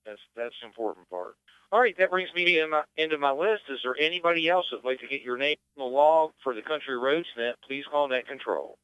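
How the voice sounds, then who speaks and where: A man's voice: a little high, brisk, a bit bright, clean tone, no echo. A man acting as net control, casually moderating check-ins over amateur radio. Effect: amateur radio transmission. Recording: dull band-limited radio audio.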